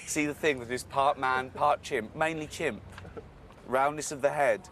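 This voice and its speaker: A man's voice: unhurried, fairly bright tone. Teasing man with faint street noise. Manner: mocking, laughs into high-pitched giggles. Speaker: comedian guest. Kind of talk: teasing banter on a breakfast show.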